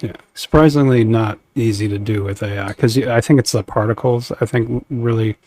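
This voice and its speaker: Deadpan man cracking dry jokes. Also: mid-range voice, fairly quick, no room sound. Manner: dry deadpan joking, self-described monotone. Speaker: podcast co-host. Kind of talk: casual chat reacting to videos.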